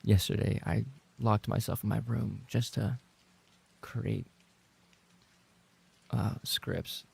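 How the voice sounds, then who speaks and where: A lowish male voice, thick with fry and very husky. He is a streamer talking casually to his chat, rambling along with playful banter. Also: fairly even intonation, slow, long pauses, darkish tone. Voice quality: gravelly, husky. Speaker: livestreamer and ASMR voice actor reading chat.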